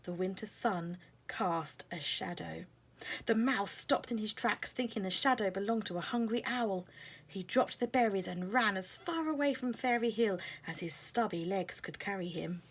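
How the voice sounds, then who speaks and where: A woman's voice: medium pitch, moderate pace, breathy edge. A woman narrating a children's picture book aloud as a scripted story.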